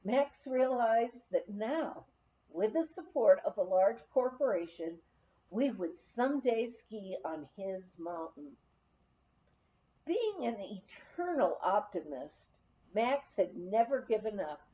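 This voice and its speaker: Slow, lively woman reading a memoir. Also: mid-range voice, muffled recording. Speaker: audiobook reader. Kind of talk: scripted memoir narration, read aloud.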